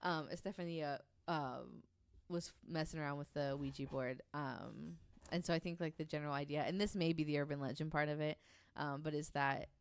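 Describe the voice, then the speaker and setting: A man chatting casually on a podcast, informal and expressive as he tells stories. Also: fairly high pitch, normal speed, creaky, few pauses, clean tone, no room sound. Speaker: podcast panelist. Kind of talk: casual storytelling chat.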